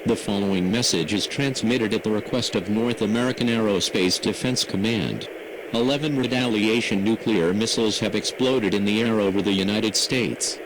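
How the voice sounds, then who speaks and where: A man reading a scripted emergency broadcast announcement in a formal, measured, impersonal manner. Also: on the low side. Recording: noisy emergency radio broadcast audio.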